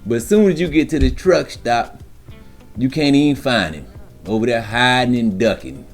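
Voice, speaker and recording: A man's voice: mid-range voice, expressive, moderate pace, soft background music. A man talking informally as a trucker giving advice to newcomers, emphatic and streetwise, with frequent check-ins to his listeners. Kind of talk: informal instructional monologue to camera.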